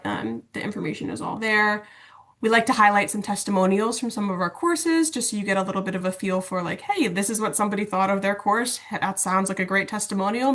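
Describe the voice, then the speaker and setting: A woman giving an informal webinar walkthrough of software over a video call, explaining things in a casual, conversational way. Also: medium pitch. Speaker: instructional designer and LMS administrator presenting.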